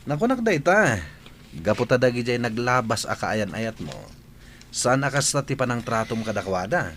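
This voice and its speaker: A man's voice: mid-range voice, animated, normal speed, fairly bright tone, slight background noise, close to an everyday voice. A man acting a teasing, skeptical young buddy in an Ilocano radio drama, exclamatory, with an Ilocano Filipino accent. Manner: needling, exclamatory.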